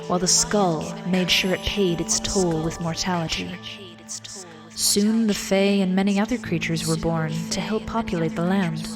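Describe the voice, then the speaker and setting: A woman reading an ancient creation myth as scripted storybook narration in an audio drama. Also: lowish voice. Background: prominent background music.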